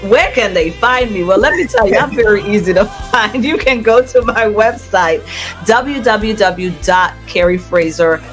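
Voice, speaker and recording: Female interview guest, gospel singer. Conversational, earnest testimony style, mid pitch, light music bed. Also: moderate pace. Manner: earnest, testifying, laughs easily.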